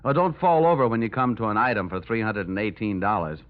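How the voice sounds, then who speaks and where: An American actor playing a tough, wisecracking, cynical insurance investigator in a vintage radio drama, with wry hard-boiled delivery. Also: male voice, on the low side, quick pace, lightly acted. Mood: wry, sardonic. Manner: wry, sardonic. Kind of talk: 1940s radio acting, hard-boiled narration. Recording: muffled vintage radio recording, music behind.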